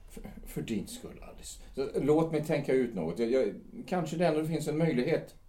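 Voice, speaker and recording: An actor playing a gentle, hesitant middle-aged man who shows sympathy for an unhappy wife in an audio drama. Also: fairly high pitch, animated, close to an everyday voice. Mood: concerned.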